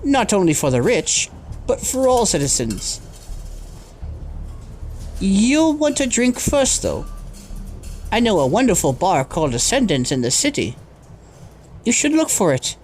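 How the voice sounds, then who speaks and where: A man's voice: high voice, huge pitch swings, a little slow, long pauses, faint music behind, full character voice. An actor playing a persuasive male guide in an audio drama.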